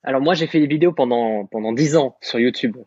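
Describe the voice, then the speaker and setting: A young man from France in his twenties, a guest content creator talking through his story with animated self-assurance. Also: mid-range voice, fast, breathy edge, dry recording. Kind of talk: casual podcast discussion. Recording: slightly muffled dry recording.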